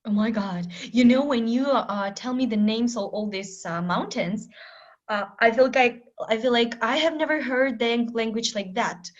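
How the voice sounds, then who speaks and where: Russian-speaking lady interviewer, excited, giggles, lots of uhs, muffled lo-fi audio. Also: on the high side, moderate pace. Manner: excited, laughs often, searches for words with many uhs. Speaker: interviewer, English club host. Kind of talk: casual conversation. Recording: dull lo-fi audio.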